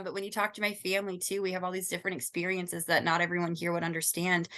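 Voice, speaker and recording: A young woman from Southern California talks through a class project in an easy, informal way. She leans on plenty of ums and likes as she goes. Her pitch sits in a middling, ordinary range for a woman. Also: a bit bright. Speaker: student presenter. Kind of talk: class presentation.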